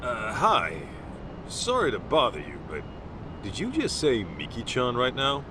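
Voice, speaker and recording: A man playing an easygoing, gruff middle-aged private detective in a video game, talking in a casual way. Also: medium pitch, huge pitch swings, a little slow, background noise. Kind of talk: video game cutscene acting.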